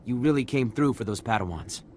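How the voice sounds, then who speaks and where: Guy playing a helpful game rescue coordinator, normal pitch, some quiet ambience behind. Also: animated, fairly quick, slight background noise, lightly acted. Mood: reassuring. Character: reassuring, businesslike male rescue coordinator. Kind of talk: video game dialogue.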